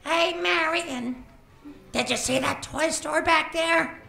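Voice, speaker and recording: Casting: woman playing a whining man-child, very high gravelly voice, slow, echoey and off mic. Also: bright, forceful tone, heavily acted. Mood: pleading. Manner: whiny, pleading, childish. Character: scientist turned overgrown whiny boy.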